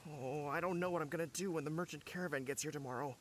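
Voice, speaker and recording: A man's voice: a little high, quick pace, slight background noise, close to an everyday voice. A man voicing a fretful, dramatic delivery villager in a video game. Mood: distressed. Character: flustered, fretful male fruit-cart delivery villager. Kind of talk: video game character voice acting.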